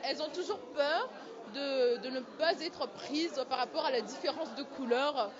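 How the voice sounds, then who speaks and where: A woman's voice: high voice, moderate pace. A woman model interviewed at a gala, speaking hesitantly with many fillers. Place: crowded gala hall. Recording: muffled lo-fi audio with crowd noise.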